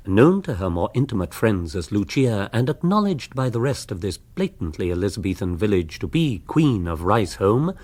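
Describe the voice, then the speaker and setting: An actor with an English accent playing an arch, precise storyteller, narrating a radio comedy with wry polish. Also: male voice, medium pitch, a little mellow.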